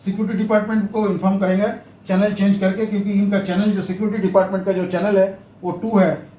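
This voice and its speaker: A man giving a step-by-step tutorial, explaining haltingly and repeating himself, with English terms mixed in. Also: sits high, a little mellow. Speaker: instructor, tutorial presenter. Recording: roomy echo, faint background noise.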